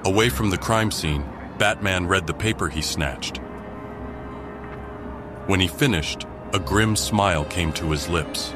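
A man's voice: deep voice, measured pace. A man narrating a scripted crime mystery audio drama as a grave storyteller. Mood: grave, suspenseful. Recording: background music under the voice.

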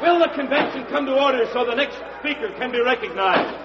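Shrill, shouting convention chairman. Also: fairly quick, husky, full character voice. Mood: insistent. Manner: loud, insistent shouting. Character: harried convention chairman calling for order. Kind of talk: 1940s-50s radio drama acting. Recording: dull recording with crowd behind.

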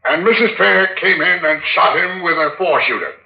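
An actor playing a blunt, bewildered captain on the witness stand in an old-time radio drama, plain-spoken and hesitant, on a tinny, echoey recording. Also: male voice, high-pitched, moderate pace, vocal fry. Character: blunt, bewildered male captain testifying in court.

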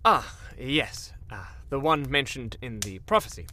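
Flustered, stammering enchanter minion, swooping pitch. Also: male voice, sing-song, a little slow, heavy background noise. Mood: hesitant deference. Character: nervous, bumbling, obsequious evil enchanter minion. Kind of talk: fantasy audio drama acting.

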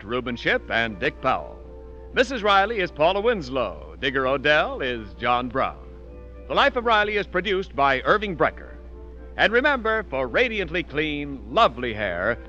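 A man's voice: on the high side, very animated, moderate pace, sharp tone, highs cut off, soft background music. A man with an American accent announcing and advertising in polished, emphatic 1940s radio style. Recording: thin vintage radio recording.

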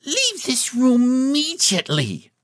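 A prim little storybook girl, played by a male narrator, dignified and wary. The voice is high and very lively in pitch, and the words come slowly and earnestly. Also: dry recording, studio quality, exaggerated voice. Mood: indignant. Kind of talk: storybook character voice.

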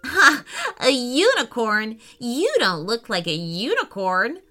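A woman voicing a bossy young female dragon in a children's story, loud and emphatic. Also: fairly high pitch, sing-song, slow, soft background music, full character voice. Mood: mocking.

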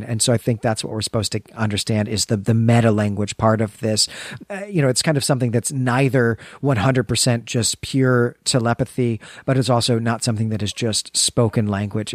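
A man co-hosting a discussion show, talking through ideas in a conversational, thoughtful and halting way as he explains. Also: medium pitch, no echo, clean studio sound. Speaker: podcast co-host discussing fiction.

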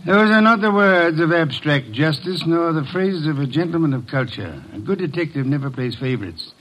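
Pompous, high-pitched master detective. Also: male voice, lively intonation, normal speed, fairly bright tone, rough recording. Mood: lofty, complacent. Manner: pompous, precise, ornate phrasing. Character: pompous, imperious gourmet master detective, cultured and unhurried. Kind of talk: old-time radio detective drama acting.

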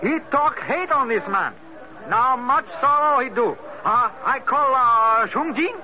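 An actor playing an agitated bystander who speaks halting broken English, in 1940s radio drama style. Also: male voice, extremely high pitch, animated, a little hiss or hum. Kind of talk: 1940s radio adventure acting. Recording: tinny old radio recording, crowd behind.

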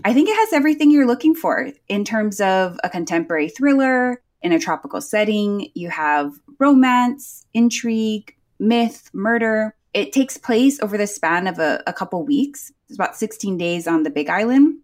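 A woman's voice: medium pitch, expressive, normal speed, few pauses, darkish tone. A woman from Hawaii, co-hosting a podcast and chatting casually and enthusiastically about book picks. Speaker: podcast co-host recommending books. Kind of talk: casual chat.

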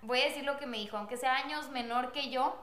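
Young woman, high voice, echoey room, animated storytelling. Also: fast. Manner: animated, laughs often, acts out quotes. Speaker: guest telling their love story. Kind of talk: casual storytelling.

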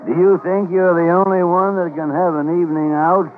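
An actor playing a gruff, teasing older male doctor in an old-time radio drama, with a gravelly, drawling delivery. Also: high-pitched, little pitch movement, normal speed, phone-quality sound, natural voice. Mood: jovial. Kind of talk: 1940s-50s radio drama acting. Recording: thin, noisy old radio recording.

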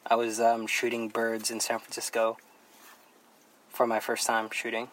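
A young man from the Philippines talks as a podcast guest in an easygoing, meandering way. He leans on fillers and restarts as he tells his story. His voice sits at an ordinary pitch for a man, with a faint hiss behind it. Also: completely flat delivery, a little slow, a bit bright. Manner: laid-back, rambling, heavy on fillers. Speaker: guest photographer. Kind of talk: casual podcast chat.

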